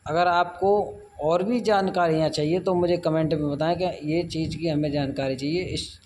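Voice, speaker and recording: Male tutorial host, slightly high voice, informal and repetitive step-by-step delivery, faint background noise on an amateur recording. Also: darkish tone. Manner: repeats phrases and instructions as he goes.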